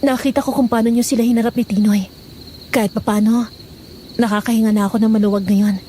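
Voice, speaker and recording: An actress playing an anxious, emotional village woman in a horror audio drama, expressive and worried. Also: fairly high pitch, quick pace, a little hiss or hum, natural voice. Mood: relieved. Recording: music bed with insect sounds.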